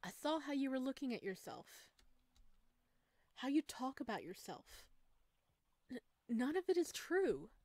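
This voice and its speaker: An earnest visual novel heroine, acted out by a woman. Her voice lifts higher than an everyday one, heartfelt and emphatic, caring and bold. The recording is dry. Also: big pitch swings, measured pace, pauses often, darkish tone, no room sound, heavily acted. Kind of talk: visual novel character reading.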